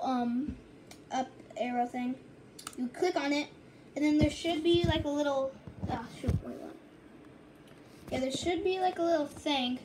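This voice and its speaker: Female tutorial host, high voice, casual and halting step-by-step delivery, noticeably noisy handheld recording. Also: a little mellow. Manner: halting, repeats and corrects herself. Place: indoors at a home computer. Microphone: handheld phone or camera, filming screen.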